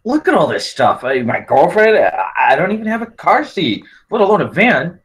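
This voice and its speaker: A man's voice: sits high, sing-song, moderate pace, fairly bright tone, husky, exaggerated voice. A man at a script table read playing an anxious, broke student who is reluctant about becoming a father. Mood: panicked.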